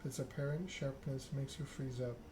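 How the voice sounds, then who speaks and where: A man softly reading a story game aloud in a hushed, measured ASMR narration. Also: medium pitch, fairly even intonation. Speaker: video game let's-player reading the game aloud. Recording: clear background noise.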